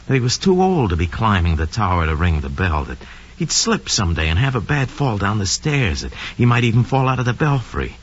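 An actor playing a smug, scheming young murderer who confesses coldly and boastfully in an old radio drama monologue. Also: male voice, on the low side, sing-song, brisk, slightly rough. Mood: cold, sly. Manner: sly, cold, boastful, slangy. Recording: dull lo-fi old radio recording.

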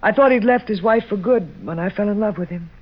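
A woman's voice: mid-range voice, fairly quick, slightly rough, close to an everyday voice. An actress playing an earnest, defensive young woman under suspicion, proper and pleading, in an old radio drama. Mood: confessing. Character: earnest, defensive young female murder suspect, lovelorn. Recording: dull vintage radio recording.

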